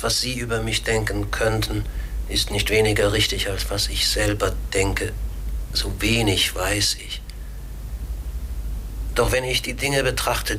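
An enigmatic raven muses on its own being in a low, very slow, courteous voice. The delivery is bright and pensive, in radio play style, with light noise on the recording. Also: male voice, exaggerated voice, rough recording. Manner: measured, pensive, formally polite.

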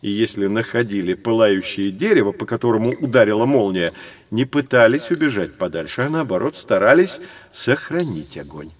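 An actor playing a learned teacher who explains history to children in a storytelling manner, in an educational audio drama. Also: male voice, on the low side, expressive, moderate pace. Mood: explanatory.

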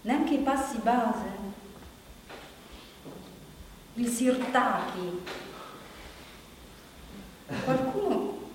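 An actress playing a wistful, insistent unmarried woman in a halting, pleading theatrical monologue. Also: fairly high pitch, expressive. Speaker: stage actor. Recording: distant, very echoey hall, faint noise.

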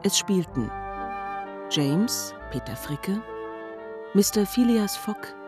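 A woman's voice: mid-range voice, very animated, slow, over music. A woman reading scripted credits as the announcer of a radio play.

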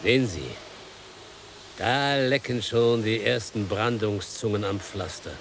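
A man's voice: fairly low pitch, unhurried, slight background noise. An actor playing a dutiful, plainspoken coachman in a radio play, polite and explanatory. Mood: urgent, polite. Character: dutiful coachman, long-serving island servant, plainspoken. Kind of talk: 1990s German radio play acting.

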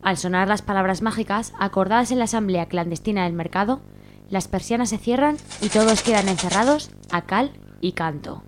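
A woman's voice: medium pitch, a little fast, a little hiss or hum, natural voice. A woman with an accent from Spain reading scripted narration for a radio drama.

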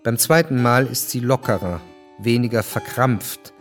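An actor narrating a historical radio drama as a sober storyteller, measured and matter-of-fact. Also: male voice, medium pitch, soft background music, lightly acted.

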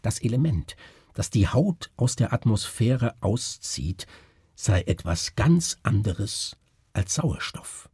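A man voicing a haunted, brooding doctor in a gothic story, husky, halting and intense. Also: fairly low pitch, mellow, no room sound, clean studio sound, full character voice. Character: haunted, brooding male doctor recounting horrors.

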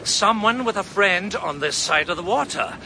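A shrewd, cutting police detective. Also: male voice, high voice, lively intonation, creaky, sharp tone, slight background noise, full character voice. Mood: cold, accusing. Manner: measured, cutting, triumphant. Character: shrewd senior police detective unmasking a killer. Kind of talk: 1980s-90s radio drama acting.

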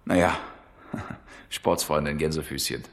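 A man's voice: rumbling low, steady tone, slow pace, vocal fry, full character voice. An actor playing a sly, chummy repairman of about forty in a radio drama, talking casually. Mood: chummy, probing. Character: chummy, sly forty-year-old repairman tracking someone down. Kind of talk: naturalistic radio drama acting.

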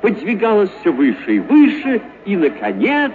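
A man's voice: high-pitched, huge pitch swings, moderate pace. A man narrating a literary story for radio, dramatically and expressively, drawing out certain words. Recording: thin, narrow-band radio sound.

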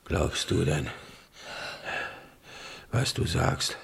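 Gravelly, dying old king. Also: low-pitched, lively intonation, heavily acted. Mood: stern, weary. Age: older. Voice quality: gravelly, breathy, weakened voice. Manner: halting, laboured, commanding delivery. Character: dying old king, stern, bitter, imperious father. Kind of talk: German radio play acting.

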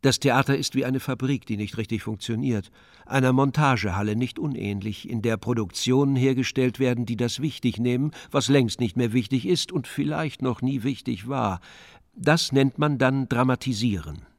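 A male actor plays a sardonic commentator in a radio play. He has a medium-pitched voice and delivers a measured, essay-like monologue drily. The sound is close and dry, free of room echo. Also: natural voice. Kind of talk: scripted monologue.

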